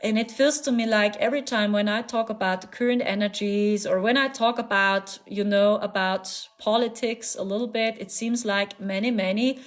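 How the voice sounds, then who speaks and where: A woman's voice: medium pitch, steady tone, normal speed, hardly any pauses. A woman with a German accent hosting a live stream as a spiritual channeler, talking in an earnest, repetitive, soothing way with frequent little laughs. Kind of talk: casual live-stream talk.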